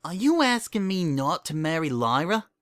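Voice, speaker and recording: A man's voice: a little high, big pitch swings, moderate pace, fairly bright tone, dry recording, clean studio sound, pitched above the performer's own voice. A man voicing a distraught, angry young female pony candy maker in an emotional, indignant storybook reading.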